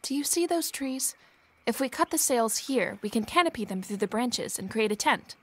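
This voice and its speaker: A woman's voice: fairly high pitch, lively intonation, normal speed, husky. Composed, determined young Regency lady. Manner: composed, decisive, earnest. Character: determined, practical young Regency woman, kind and resourceful. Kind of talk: period audio drama acting.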